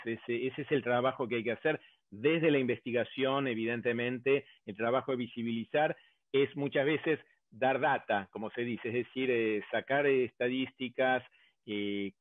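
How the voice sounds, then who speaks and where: A man's voice: medium pitch, moderate pace, dry recording. Argentine man on a tinny line. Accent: Argentine Spanish. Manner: hesitant, many fillers, builds arguments step by step. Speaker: academic panelist. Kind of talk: round-table discussion. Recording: dry, tinny phone-like line.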